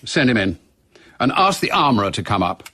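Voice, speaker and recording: A man acting in a radio drama as a middle-aged British intelligence chief, brisk, authoritative and clipped. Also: lowish voice, big pitch swings, pushed and bright, dry recording, full character voice. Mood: brisk, businesslike.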